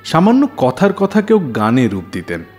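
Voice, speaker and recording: A man hosting a radio show, narrating scripted stories with dramatic, deliberate phrasing. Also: a little high, huge pitch swings, darkish tone, breathy edge. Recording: music behind the voice. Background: background music.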